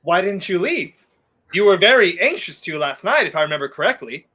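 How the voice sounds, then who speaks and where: A man's voice: high-pitched, sing-song, a little fast, pushed and bright, slightly rough, full character voice. A young man playing a wry, weary fugitive hero in a 1930s radio play, sardonic and theatrical in manner. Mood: puzzled, suspicious. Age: young adult.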